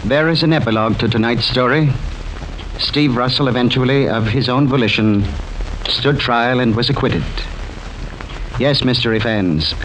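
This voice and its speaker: A man's voice: mid-range voice, normal speed, a bit bright, slight background noise, poor audio. A man hosting an old-time radio mystery show with scripted, formal narration. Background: music.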